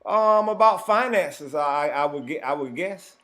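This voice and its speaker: A man hosting a livestream panel, leading the guest with slow, halting questions. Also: on the high side, lively intonation, a bit bright. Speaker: panel host. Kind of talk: casual panel chat on a livestream.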